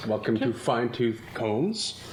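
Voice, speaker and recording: A man's voice: mid-range voice, very animated, slow pace. A man hosting a casual podcast among friends, rambling and laughing often. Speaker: podcast host. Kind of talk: casual chat. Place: room with friends. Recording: echoey room, faint ambient noise.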